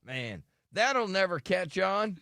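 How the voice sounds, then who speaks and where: A man's voice: sits high, sing-song, slow pace, sharp tone, no echo, clean studio sound. A man hosting a radio show, chatting casually and complaining about himself with self-deprecating humor; his microphone sounds thin and tinny. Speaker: radio host. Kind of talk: casual morning radio banter. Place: radio studio. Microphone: studio radio microphone.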